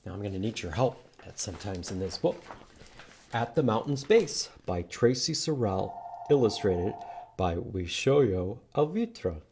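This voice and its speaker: A man reading aloud to children at a storytime, expressive and inviting them to join in. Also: fairly low pitch, measured pace, a little hiss or hum. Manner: expressive, animated, asks listeners to join in. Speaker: children's storytime reader at a library. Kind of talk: read-aloud storytime with singing and prompts to children.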